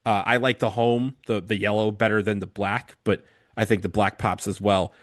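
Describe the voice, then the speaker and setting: A man's voice: fairly low pitch, little pitch movement, moderate pace, dry recording, clean studio sound. A man co-hosting a podcast, talking casually about football kits in a hesitant way with many fillers and restarts. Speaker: podcast co-host. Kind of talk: casual chat.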